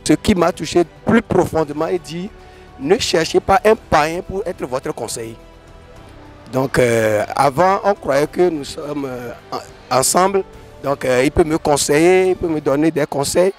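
A middle-aged man gives a testimony to an interviewer's handheld mic. His voice is fairly high for a man. Music plays faintly underneath. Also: a little slow. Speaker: convention attendee. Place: large open hall. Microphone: handheld interview microphone.

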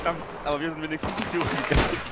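A man's voice: a little high, fairly even intonation, rapid-fire, very noisy. A man co-playing a shooter game, bantering and teasing, squealing in surprise at times. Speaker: co-player. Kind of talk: casual gaming banter. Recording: loud gunfire behind. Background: game gunfire.

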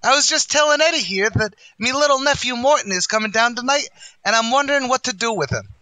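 A man's voice: very high voice, quick pace, pushed and bright, no room sound, poor audio. An actor playing a brash, boastful male tavern manager, in a wisecracking 1940s radio comedy style. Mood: chatty.

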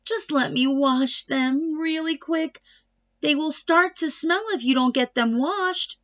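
A woman voicing a concerned mother in a storybook, gently reasoning and coaxing. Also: high voice, takes it slowly, highs cut off, pitched above the performer's own voice.

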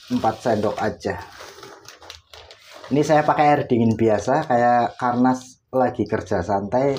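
A man's voice: medium pitch, a little mellow, slight background noise. A man, casually explaining step by step while working at home. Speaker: laundry tutorial host. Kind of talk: casual how-to demonstration. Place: home laundry area, indoors.

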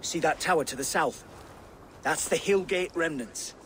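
Video game villager, played by a male actor: distrustful, pointed, embittered, high for a man, slight ambience. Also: normal speed, a bit bright, a little hiss or hum, natural voice. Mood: grudgingly helpful. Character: wary, embittered local camp man, grudgingly helpful. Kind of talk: video game character acting.

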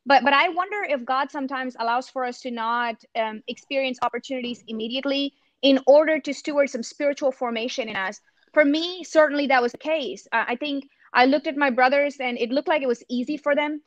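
A woman's voice: high-pitched, a little fast, no room sound, muffled recording. A woman from India with an Indian accent, speaking earnestly and thoughtfully on a video call, often restarting her sentences. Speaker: campus ministry missionary. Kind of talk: reflective panel talk. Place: home room. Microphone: laptop webcam on a video call.

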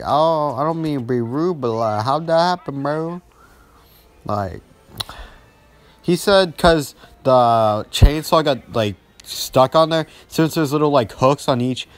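A young man telling a story casually over gameplay, rambling with heavy slang and acting out dialogue as he goes. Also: medium pitch. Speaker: gaming video storyteller.

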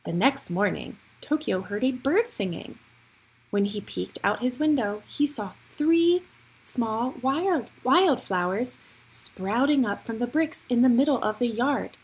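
A woman reading a picture book aloud for young children, with expressive, deliberate storytelling phrasing. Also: on the high side, husky, rough recording.

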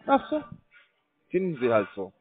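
A man cold-calling as a scam call center agent, pushing a sales pitch in broken grammar with hesitant fillers, heard over a tinny phone line. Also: wildly swooping pitch, moderate pace, slightly rough.

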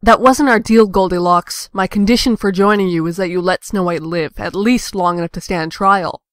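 A smooth, clear-voiced young female rebel played by a woman in a comic dub, recorded dry. She is cocky and defiant, making speeches with a taunting edge. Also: medium pitch, moderate pace, no room sound, lightly acted. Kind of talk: amateur comic dub acting.

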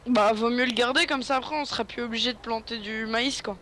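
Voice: medium pitch, steady tone, a little fast, sharp tone, slight background noise. A guest player chatting casually during a co-op farming game, rambling and playful in tone. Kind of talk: casual co-op gameplay chat.

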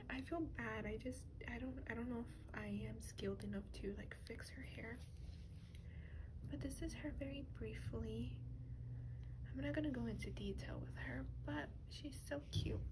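A woman speaking softly in a breathy, often whispered voice, gently and hesitantly showing items in a rambling ASMR style. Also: a little high. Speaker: ASMR doll reviewer. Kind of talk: soft-spoken ASMR, rambling show and tell. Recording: clearly noisy lo-fi home recording.

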